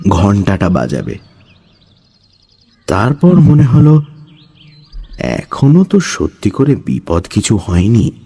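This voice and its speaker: A man's voice: fairly low pitch, dark tone, husky. A man giving a dramatic, scripted narration of a horror story. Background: background music.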